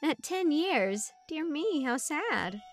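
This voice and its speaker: A woman's voice: sits high, very animated, slow, faint music behind, pitched above the performer's own voice, exaggerated voice. A woman voicing a dreamy, cheerful young woman with a lilting, enthusiastic way of talking.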